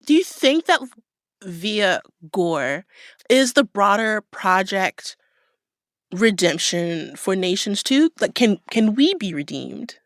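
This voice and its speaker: A woman's voice: mid-range voice, very animated, takes it slowly, no room sound, clean studio sound. A woman hosting a podcast interview in a casual, playful and informal conversational style. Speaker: podcast host interviewing an author.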